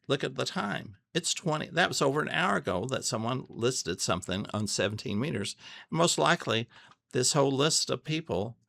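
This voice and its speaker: A man giving a casual, unscripted tutorial, thinking aloud haltingly and repeating phrases as he goes. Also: medium pitch, gravelly, a bit bright, dry recording, clean studio sound. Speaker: amateur radio tutorial host. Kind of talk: casual unscripted screen walkthrough.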